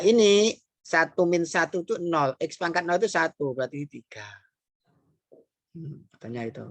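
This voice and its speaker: A man's voice: on the high side, fairly bright tone, no room sound. Hesitant East Javanese male math teacher. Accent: East Javanese Indonesian. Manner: hesitant, frequent "eh" fillers, repeats key points; addresses students directly, step-by-step. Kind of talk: recorded classroom math explanation.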